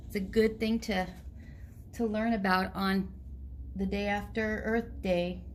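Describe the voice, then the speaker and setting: A woman teacher reading a storybook aloud to young children in a deliberate, direct way. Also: medium pitch, fairly even intonation, a little hiss or hum.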